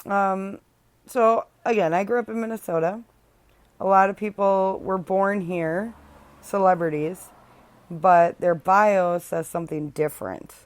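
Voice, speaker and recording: Slow-talking chatty woman podcaster. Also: mid-range voice, fairly even intonation, no room sound. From Illinois. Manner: chatty and blunt, many ums, sarcastic asides. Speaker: podcast host. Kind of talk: casual solo podcast chat.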